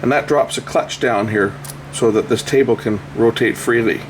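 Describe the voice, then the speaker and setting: A man's voice: medium pitch, moderate pace, noisy background. A man giving casual, unscripted repair commentary, thinking aloud as he works with his hands. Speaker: electronics repair video host.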